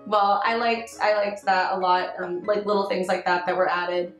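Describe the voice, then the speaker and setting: A woman chatting as a panelist in a casual fan review, animated and self-deprecating, acting out her own reactions. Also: mid-range voice, moderate pace. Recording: roomy echo, faint background music.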